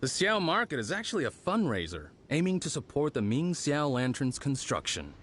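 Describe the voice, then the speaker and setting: An actor playing a friendly, patient, easygoing craftsman in a video game, encouraging, with thoughtful pauses. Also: a little high, sing-song, fairly bright tone, slight background noise. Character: friendly, patient male festival lantern craftsman. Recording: music behind the voice.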